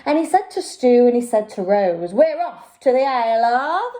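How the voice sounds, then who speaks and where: A woman's voice: fairly high pitch, big pitch swings, normal speed, warm tone. A woman, a nursery teacher, reading a picture book aloud to young children in a sing-song, theatrical way.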